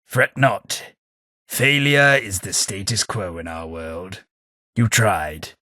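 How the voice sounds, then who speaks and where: A man's voice: lowish voice, animated, slow, bright, forceful tone, dry recording, exaggerated voice. A man voicing a snobbish, sardonic male ghoul aristocrat with an English accent, delivering game companion lines in a prim, dry upper-class drawl. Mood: wry. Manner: prim, snobbish, wryly sarcastic.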